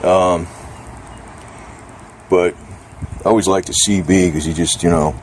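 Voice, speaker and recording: Low-voiced man rambling over outdoor noise. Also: fairly even intonation, unhurried. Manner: rambling, blunt, mildly salty slang. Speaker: vlogger reviewing gear. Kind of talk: unscripted casual product chat. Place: outdoors under a carport. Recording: noisy outdoor recording with ambient hum.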